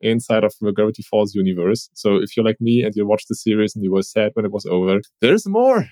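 A man's voice: fairly low pitch, expressive, fast talker, warm tone, no echo. Chatty man, dry sound. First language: German. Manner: friendly, chatty, laughs easily. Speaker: podcast host. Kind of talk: casual podcast chat.

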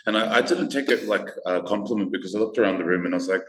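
Raspy, deep man, camp and laughing. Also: very fast, roomy sound, poor audio. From Australia. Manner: playful, camp, laughing often. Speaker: guest drag performer. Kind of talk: casual podcast chat.

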